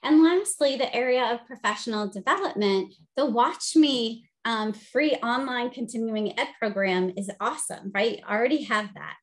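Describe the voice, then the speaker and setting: A middle-aged woman with a high-pitched voice, teaching a webinar over a video call with an upbeat, friendly delivery full of "um"s. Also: lively intonation, moderate pace, hardly any pauses. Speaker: presenter and teacher. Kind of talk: instructional webinar presentation. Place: home office, video call.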